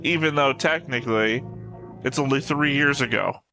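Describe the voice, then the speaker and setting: Casual man reacting over loud music. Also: medium pitch, moderate pace, low-quality recording. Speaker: reaction show host.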